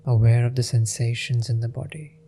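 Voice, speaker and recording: A man leading a guided meditation in a gentle, unhurried manner with long pauses. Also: mid-range voice, fairly even intonation, a little hiss or hum.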